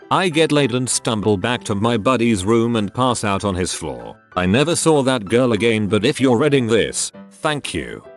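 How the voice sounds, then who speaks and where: A man narrating first-person anecdotes aloud in a scripted reading, spelling out abbreviations letter by letter. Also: on the low side. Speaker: narrator reading online posts.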